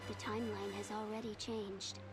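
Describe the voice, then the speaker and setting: An actress voicing a solemn, fateful seer in a video game, with measured, cryptic delivery. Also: female voice, mid-range voice, flat delivery, creaky, lightly acted. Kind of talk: video game cutscene acting. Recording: loud game music behind.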